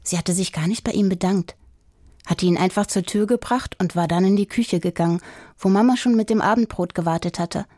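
Mellow, husky woman narrating a children's story. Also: mid-range voice, brisk, dry recording, studio quality. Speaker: audiobook narrator.

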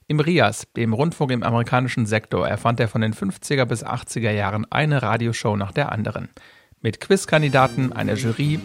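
A man's voice: mid-range voice, creaky. A man reading scripted narration as a reporter in a radio feature.

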